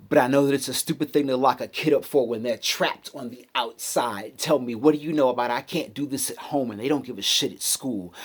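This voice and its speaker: A male spoken word poet with a mid-range voice recites his verses in a hip-hop cadence. His delivery is rhythmic and emphatic, set off by deliberate pauses between short phrases. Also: mid-range voice, breathy edge. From United States city.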